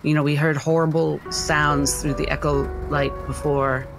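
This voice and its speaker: A woman's voice: low-pitched, little pitch movement, moderate pace, gravelly. A woman playing a tabletop roleplaying game, describing her character's actions in the third person, piece by piece and a little hesitantly. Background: music.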